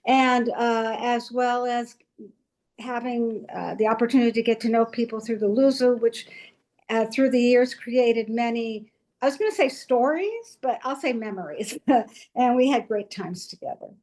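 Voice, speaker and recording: Reflective, fairly high-voiced older woman. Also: normal speed, a little mellow. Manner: reflective, reminiscing. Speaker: panelist, retired school administrator. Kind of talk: casual panel talk. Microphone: video call.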